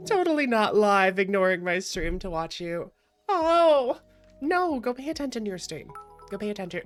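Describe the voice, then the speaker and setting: A woman livestreamer chatting casually, giggly and expressive, with playful exasperation. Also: mid-range voice, moderate pace, soft background music. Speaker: livestreamer, VTuber host.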